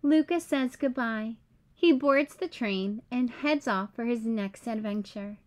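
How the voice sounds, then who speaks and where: High-pitched woman reading a children's storybook. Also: a little slow, a little mellow. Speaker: read-aloud storyteller. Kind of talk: children's picture book read-aloud.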